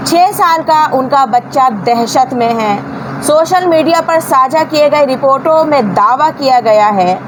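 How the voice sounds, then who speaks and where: A woman with a high voice delivers informal news commentary. She sounds indignant and scolding, leaning on emphatic rhetorical questions to make her points. There is clearly audible noise behind her. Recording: clearly noisy background.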